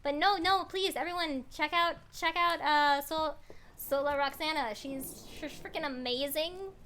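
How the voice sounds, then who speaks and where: A woman chatting casually as a livestream host, bubbly, scattered and excitable. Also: high-pitched, measured pace, slight background noise. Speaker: streamer, host of a story-reading livestream.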